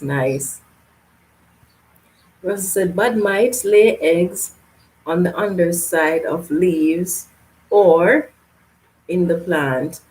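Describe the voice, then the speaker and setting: A woman's voice: sits low, a little mellow, low-quality recording. Chatty woman host reading live comments. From Canada. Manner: chatty, laughs often, reads comments aloud and answers. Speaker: gardening channel host. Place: home, live stream.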